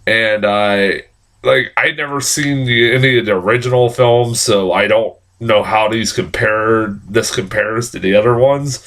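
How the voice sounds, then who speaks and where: Hoarse, congested man rambling a film review. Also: on the low side, a little slow, fairly bright tone. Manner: rambling, frequent fillers and profanity. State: hoarse and nasal from a cold. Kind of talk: casual vlog review.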